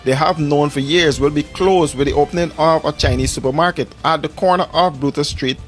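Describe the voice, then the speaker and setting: Male news reporter, raised pitch, scripted report delivery, faint music behind. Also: brisk.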